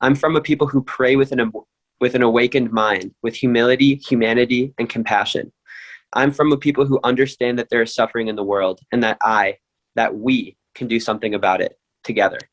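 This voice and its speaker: A man reciting lines of a group poem in a steady, earnest way. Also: mid-range voice, brisk, rough recording.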